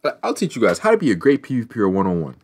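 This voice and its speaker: Deep-voiced man talking trash over a game. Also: huge pitch swings, rapid-fire, a little mellow, breathy edge. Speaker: gaming streamer. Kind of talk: casual chat over gameplay.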